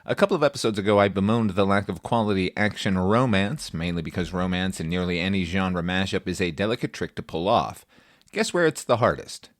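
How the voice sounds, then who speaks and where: A man's voice: low-pitched, lively intonation, brisk, dry recording, studio quality. A man hosting a movie podcast in a playful, theatrical scripted monologue. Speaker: podcast host.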